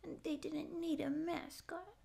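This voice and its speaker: A young woman acting a naive, pouty stray catgirl in a soft, halting ASMR roleplay voice. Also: very high voice, fairly even intonation, creaky, exaggerated voice. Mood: plaintive, wistful. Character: naive, tearful stray catgirl would-be space pirate. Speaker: ASMR voice actor. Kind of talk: ASMR roleplay audio drama.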